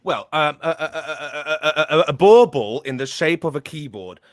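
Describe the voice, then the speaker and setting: A man's voice: on the high side, expressive, normal speed, no room sound, studio quality. A man on a headset, a comedian guest building a rambling comic answer with stammering restarts.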